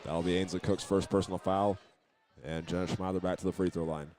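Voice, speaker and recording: An American man does live basketball commentary at a very quick pace. His voice is fairly low and stays quite flat in pitch as he tracks the game. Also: crowd noise. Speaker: play-by-play sports announcer. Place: indoor auditorium at a basketball game.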